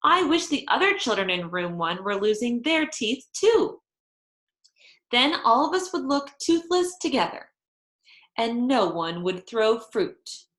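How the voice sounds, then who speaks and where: A woman's voice: fairly high pitch, very animated, measured pace, a bit bright. A woman voicing a chatty, stubborn first-grade girl from a children's storybook, with childish, emphatic delivery. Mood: wistful. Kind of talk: children's storybook read-aloud. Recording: echoey room.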